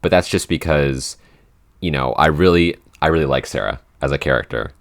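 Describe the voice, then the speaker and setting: Very deep-voiced young man, rambling chat. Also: brisk. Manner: rambling, lots of "like" and restarts. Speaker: podcast host and show writer. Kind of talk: casual chat.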